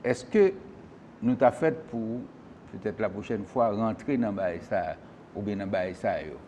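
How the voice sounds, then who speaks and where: A man's voice: on the low side, lively intonation, a little slow, mellow, a little hiss or hum. A man hosting a call-in political talk show, who questions and presses his guest.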